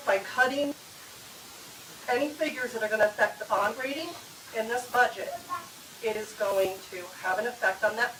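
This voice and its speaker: A woman giving a firm, formal public comment at a town budget meeting, a former finance board chair arguing her case. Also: fairly high pitch. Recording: echoey room, distant mic, noisy.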